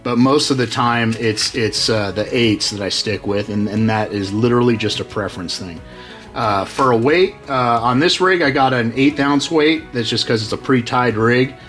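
A man's voice: mid-range voice, moderate pace, soft background music. A middle-aged man hosting a fishing channel, casually walking through how he rigs baits, with lots of fillers and restarts. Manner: relaxed, many fillers and restarts. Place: workshop with tackle-covered walls.

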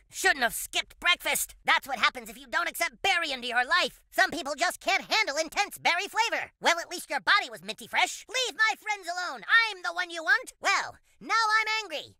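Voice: very high voice, sharp tone, no room sound, clean studio sound, full character voice. An actor voicing an earnest, boastful alien boy superhero in a cartoon video game, speaking in grandiose, theatrical declarations. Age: child character. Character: earnest, boastful boy superhero from another planet, cereal-obsessed.

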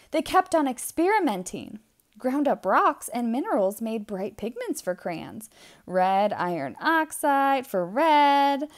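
A woman reads a picture book aloud for children. Her delivery is slow and deliberate, with lively swings in pitch. She leans on important words theatrically and draws them out. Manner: theatrical, with drawn-out emphasized words and gasps. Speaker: children's storybook reader.

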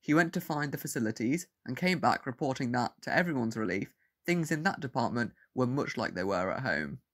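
A man with a medium-pitched voice reads scripted fiction aloud. He is the narrator of an audio story. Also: animated, fairly quick, a bit bright.

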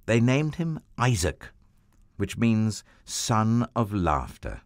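Deep slow-paced storybook narrator man. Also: no echo, studio quality. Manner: slow, expressive, sweeping storytelling.

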